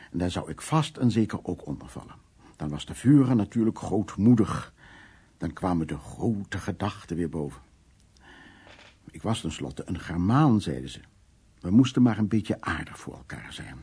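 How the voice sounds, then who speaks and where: An actor playing a cynical, worldly man who recounts his past in a wry, coarse radio drama monologue, with a raspy voice. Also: lowish voice, expressive, moderate pace, dark tone. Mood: wry, reminiscing.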